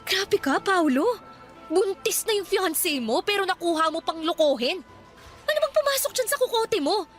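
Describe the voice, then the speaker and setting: High-voiced, hurt young office worker. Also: female voice, lively intonation, normal speed, fairly bright tone, soft background music. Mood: angry, hurt. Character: hurt, indignant young woman office worker, sharp-tongued when betrayed. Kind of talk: Tagalog radio drama acting.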